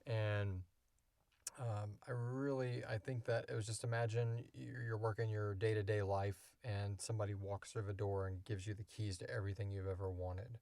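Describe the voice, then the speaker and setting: Podcast host guy, lowish voice with lots of fry, casual chat, dry booth sound. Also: flat delivery, normal speed, darkish tone, dry recording. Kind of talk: casual unscripted Q&A talk. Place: small enclosed recording booth.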